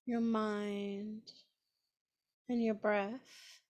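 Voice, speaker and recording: A woman leading a guided meditation in a gentle, soothing manner, pausing often between phrases. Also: mid-range voice, flat delivery. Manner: gentle, unhurried, with long pauses between phrases.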